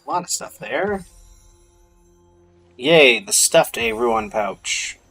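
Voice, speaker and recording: A man's voice: a little high, big pitch swings, slow, gravelly, pushed and bright, breathy edge. A man giving casual gameplay commentary as a streamer, half-muttering his thoughts aloud to himself. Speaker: game streamer. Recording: music behind the voice.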